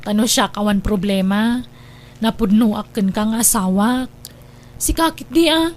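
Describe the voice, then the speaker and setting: A woman's voice: medium pitch, a little slow, close to an everyday voice. A woman playing an insistent, coaxing older sister with schemes in a radio drama. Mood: scheming. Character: pushy, scheming married older sister urging brother abroad. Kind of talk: Ilocano radio drama acting.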